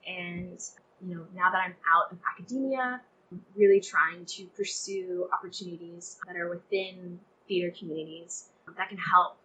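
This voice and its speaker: A woman's voice: lowish voice, steady tone, moderate pace, pushed and bright, a little hiss or hum, poor audio. A young woman chatting casually as an interview guest, speaking in an effusive, emphatic way peppered with "like". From Texas, United States. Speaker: playwright guest.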